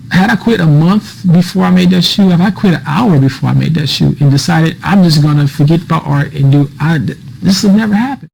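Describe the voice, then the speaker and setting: A man tells his personal story in a casual, conversational manner. His voice is gravelly and husky, with plenty of fry, and his phrasing is informal. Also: fairly high pitch, darkish tone, poor audio. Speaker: artist telling own story.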